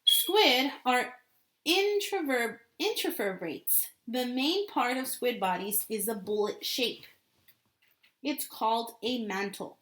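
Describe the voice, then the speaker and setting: A woman's voice: fairly high pitch, expressive, slow, fairly bright tone. A woman teacher reading a book aloud as a lesson, repeating key points and adding simple explanations for children.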